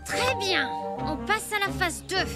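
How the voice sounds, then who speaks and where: A woman's voice: very high-pitched, expressive, a little slow, loud music behind the voice. A woman playing an earnest, plucky young boy in a cartoon, speaking with determination and urgency. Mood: determined. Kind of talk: French animated cartoon dub acting.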